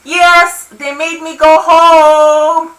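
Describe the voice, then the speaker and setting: A woman voicing an exasperated, foul-mouthed young working-class granddaughter with a quick temper in a storybook. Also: high voice, sing-song, bright, forceful tone, exaggerated voice. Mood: wry. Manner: exasperated, emphatic. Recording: echoey room, distant from the mic.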